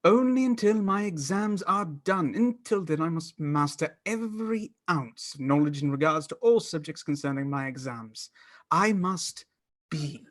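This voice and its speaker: A man's voice: on the high side, lively intonation, measured pace. Earnest, courteous young student, acted by a man. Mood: polite, earnest. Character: earnest, studious young gentleman student, politely stubborn. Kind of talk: stage radio play acting.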